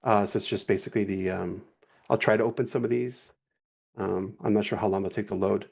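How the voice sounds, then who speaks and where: A man with a low, gravelly voice and a husky edge, talking in a loose, easygoing way on a live stream. He rambles a little and keeps correcting himself as he goes. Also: a little fast. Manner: relaxed, rambling, self-correcting. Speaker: guest animator. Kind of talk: casual livestream walkthrough.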